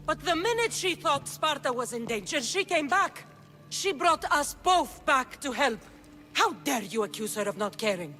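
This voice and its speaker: An actress playing a blunt, defiant young Spartan mercenary in a video game. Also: female voice, mid-range voice, expressive, a little fast, hardly any pauses, fairly bright tone. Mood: angry, defiant. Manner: blunt, determined. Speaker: game voice actor. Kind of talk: dramatic video game acting.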